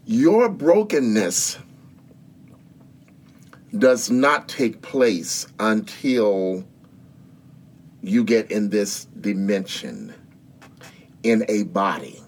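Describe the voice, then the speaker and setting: Guy in his 60s, slow earnest preachy talk, takes his time. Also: lowish voice, very animated. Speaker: guest. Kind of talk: spiritual interview talk.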